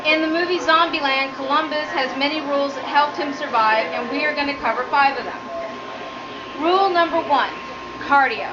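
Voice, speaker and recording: A woman giving a humorous prepared speech as a student, with a nervous, giggly delivery. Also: moderate pace. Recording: muffled low-quality audio, noticeable background noise.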